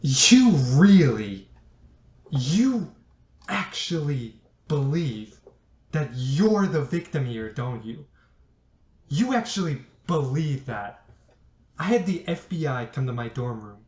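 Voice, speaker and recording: A man's voice: on the high side, big pitch swings, takes it slowly, pauses often, darkish tone. A young man playing a bitter, accusing college-age son in a student drama, speaking in a confrontational, emphatic way. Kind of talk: student stage drama acting. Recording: roomy, echoing sound.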